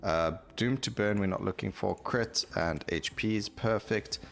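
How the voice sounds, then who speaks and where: A man's voice: on the low side, unhurried, vocal fry, a bit bright, soft background music. A man giving casual video game commentary, thinking aloud and explaining his choices as he plays. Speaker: gaming YouTuber.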